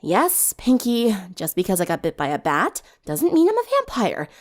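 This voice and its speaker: A woman's voice: medium pitch, wildly swooping pitch, normal speed, voice pushed up. Woman as a weary, understated teenage vampire girl. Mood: exasperated.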